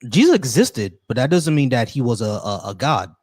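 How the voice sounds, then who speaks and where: A man hosting a call-in stream, arguing quickly and repeating phrases to make his point. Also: medium pitch, very animated, darkish tone, husky, dry recording. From United States. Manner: rapid, argumentative, repeats phrases. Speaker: host. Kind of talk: casual debate on a call-in stream.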